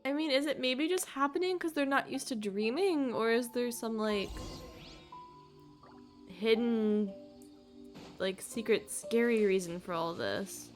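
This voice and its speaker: A woman's voice: on the high side, unhurried, pauses often. A woman streamer giving casual, tentative gameplay commentary, musing aloud over faint game music.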